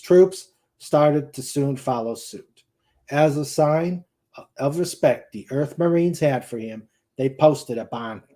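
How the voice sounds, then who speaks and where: A man narrating a science fiction war novel in a deliberate read-aloud delivery, with small stumbles here and there. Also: mid-range voice, dark tone. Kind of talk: dramatic reading of a sci-fi war novel.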